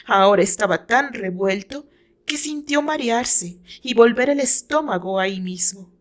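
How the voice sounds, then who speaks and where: Slow, high-voiced Mexican woman narrator. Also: lively intonation. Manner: deliberate, theatrical. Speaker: author reading own novel. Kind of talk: dramatic scripted story narration.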